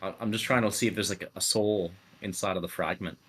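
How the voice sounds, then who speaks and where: A man playing a tabletop roleplay game, speaking casually and haltingly with repeated restarts. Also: low voice, fairly even intonation, fairly bright tone.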